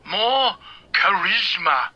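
A wary, deferential doctor in an audio drama. He talks slowly, in a high, sharp, bright tone. The sound is muffled and lo-fi. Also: lively intonation, dry recording, full character voice. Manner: hesitant, deferential, dry.

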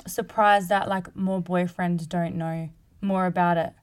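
A woman's voice: fairly low pitch, fairly even intonation, unhurried, a crowd in the background. A young adult woman chatting casually with friends in a matter-of-fact way. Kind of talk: casual chat among friends.

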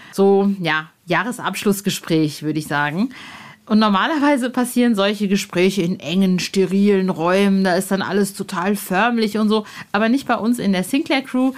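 A woman hosting a podcast in an informal, effusive, slangy chat style. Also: medium pitch. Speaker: podcast host and interviewer. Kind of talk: chatty podcast moderation and casual interview.

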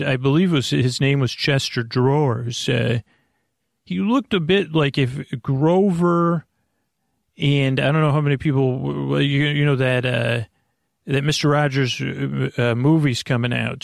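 Creaky-voiced American man, rambling and halting. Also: medium pitch, no room sound, studio quality. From United States. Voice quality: creaky, gravelly. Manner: meandering, hesitant, halting; reassuring. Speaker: sleep podcast host. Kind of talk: rambling casual monologue.

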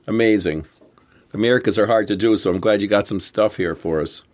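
A man's voice: lowish voice, a little fast, darkish tone. A man co-hosting a history podcast in casual chat, with a frog in his throat, answering with short, easygoing reactions. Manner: easygoing, brief reactions and chuckles. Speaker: podcast co-host.

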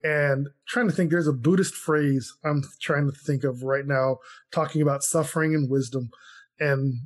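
A man's voice: a little high, fairly even intonation, normal speed. A man co-hosting a podcast, talking casually and reflectively through personal anecdotes as an entrepreneur. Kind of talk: casual conversational talk.

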